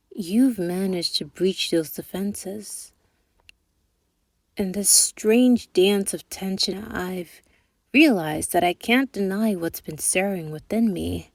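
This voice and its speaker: A young woman voicing a calculating female thief boss in an ASMR roleplay. She speaks softly and formally, dropping into whispers. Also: medium pitch, gravelly, no echo. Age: young adult. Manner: measured, precise, formal scolding.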